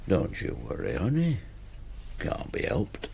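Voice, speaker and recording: A man's voice: low voice, wildly swooping pitch, unhurried, gravelly, mellow, a little hiss or hum, exaggerated voice. A man playing a stolid, terse farmer husband in a radio drama. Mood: stolid, weary. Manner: terse, plodding, inscrutable. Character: stolid, taciturn old-fashioned farmer husband.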